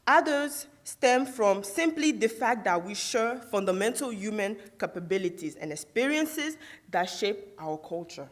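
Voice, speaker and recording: A woman's voice: medium pitch, lively intonation, a little slow. Young woman from Côte d'Ivoire, first language Guro, student orator. Prepared speech on a theatre stage, rhetorical, asks the audience questions. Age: young adult.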